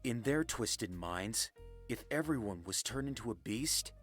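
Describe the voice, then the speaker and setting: A man voicing a level-headed young planner in a fantasy visual novel, calm and reasoning. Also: fairly low pitch, a little slow, soft background music. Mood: determined. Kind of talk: amateur visual novel voice acting.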